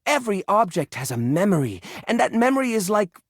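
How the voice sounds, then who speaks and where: A nervous, sentimental hoarder of a living junk drawer for a video game. He is theatrical and easily flustered, stammering as his pitch swings widely. The sound is dry and close. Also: sing-song, fairly quick, no room sound, heavily acted. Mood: earnest, explaining. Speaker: video game character voice actor. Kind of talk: animated video game character acting.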